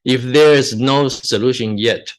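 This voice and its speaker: A man's voice: mid-range voice, unhurried, poor audio. A man answering audience questions at a conference, in brief replies with frequent yeses. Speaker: conference speaker and session chair. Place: conference room.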